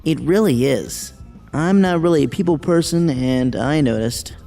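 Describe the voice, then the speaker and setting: A man's voice: on the high side, a little slow, gravelly, dark tone, soft background music. A man acting a shy, studious teenage boy in an audio drama, speaking hesitantly and earnestly. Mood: candid.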